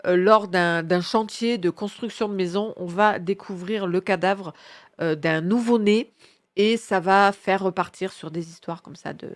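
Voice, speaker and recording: A woman's voice: medium pitch, a little slow. A woman with a head cold gives casual, enthusiastic book recommendations in a slangy, rambling way, often correcting herself. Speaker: book reviewer presenting her reading picks.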